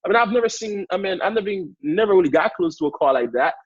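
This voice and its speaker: A man in his twenties with a Haitian accent, giving an energetic motivational talk as a guest speaker on a sales training call. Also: high voice, fast talker, dry recording, muffled recording. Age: 20s. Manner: hyped up, energetic, repetitive.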